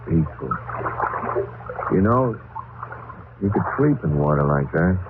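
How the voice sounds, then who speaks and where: A man's voice: very deep voice, lively intonation, a little slow. An actor playing a weary, jittery, defensive man haunted on a night drive, in old-time radio drama style. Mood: drowsy. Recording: tinny band-limited old radio recording, hissy noise.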